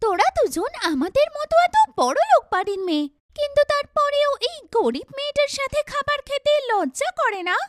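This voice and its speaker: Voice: extremely high pitch, big pitch swings, moderate pace, a bit bright, no room sound, clean studio sound, heavily acted. A performer voicing an earnest, chatty young schoolgirl in a children's cartoon, with exaggerated, emphatic childlike delivery. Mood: scornful.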